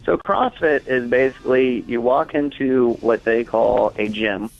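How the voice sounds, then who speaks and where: A man's voice: mid-range voice, unhurried, creaky, muffled recording, faint music behind. A man giving a casual comic interview as an expert caller, matter-of-fact and opinionated.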